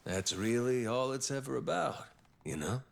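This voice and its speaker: An actor playing a roguish, cocky yakuza pirate captain in a video game, with a gravelly voice and slangy, swaggering talk. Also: male voice, mid-range voice, lively intonation, moderate pace. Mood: reflective. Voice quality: gravelly, creaky. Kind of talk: dramatic video game cutscene acting.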